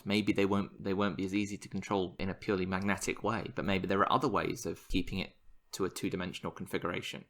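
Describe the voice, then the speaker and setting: A man's voice: fairly low pitch, fairly bright tone. A man co-hosting a podcast, explaining science news in a casual, enthusiastic way. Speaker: podcast co-host.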